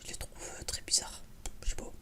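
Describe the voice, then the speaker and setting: A man whispering in a breathy, almost unvoiced voice, chatting casually and hesitantly in a rambling, blunt, slangy ASMR style. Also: a little fast. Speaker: ASMR YouTuber, film reviewer.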